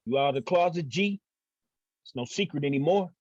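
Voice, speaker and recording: An actor at a table read playing a teasing young friend of the family. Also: male voice, a little high, expressive, fairly quick, a little mellow, no echo. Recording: dull, dry lo-fi sound.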